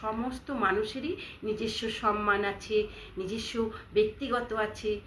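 A woman's voice: fairly high pitch, fairly even intonation, unhurried. A woman telling a simple story to listeners and ending with a moral. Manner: warm address to listeners, moralizing close. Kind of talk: children's story narration with a moral. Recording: echoey room with faint noise.

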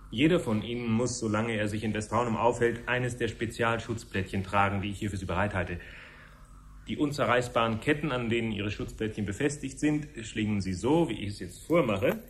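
An actor playing a courteous, formal official who explains things to visitors in a 1970s radio play. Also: male voice, mid-range voice, close to an everyday voice. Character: courteous, formal male official guiding visitors through a command centre. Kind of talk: 1970s German radio drama acting. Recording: noisy 1970s radio broadcast recording.

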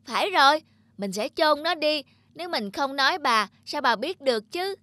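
High-pitched, anxious cartoon boy. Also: expressive, moderate pace, pushed and bright, dry recording. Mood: panicked. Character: anxious, guilt-ridden young boy, eager grandson. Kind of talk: children's cartoon dubbing.

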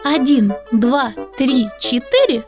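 A woman voicing a cheerful, curious little girl puppet, wide-eyed and expressive, hosting a children's show. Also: high voice, slow pace, over music, exaggerated voice. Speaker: host.

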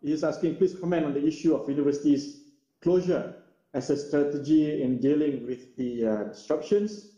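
A man's voice: on the high side, fairly even intonation, moderate pace, mellow. A man moderating an online forum and reading out audience questions, hesitant and full of fillers. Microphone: video call. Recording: dull, roomy video call audio.

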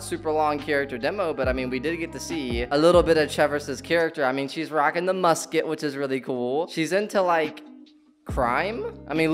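A man in his twenties giving casual, slangy reaction commentary with animated exclamations, close on a desk microphone. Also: a little high. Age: 20s. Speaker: reaction video host. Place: indoor room in front of leafy backdrop. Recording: loud music under the voice. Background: loud game trailer music.

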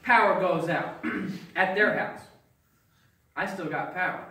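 A middle-aged man doing folksy stand-up storytelling, getting loud and emphatic at the punchlines. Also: sits high, takes it slowly. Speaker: stand-up comedian. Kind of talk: stand-up comedy at a congregation event. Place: small fellowship hall with audience. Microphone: far camera, no mic. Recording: echoey hall recorded from far off with audience laughter.

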